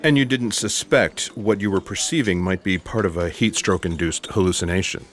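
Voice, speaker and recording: An actor playing an earnest, eccentric intelligence agent in sci-fi audio theatre, speaking in a deliberate, formal, deadpan way. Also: male voice, sits low, natural voice. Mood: earnest, composed.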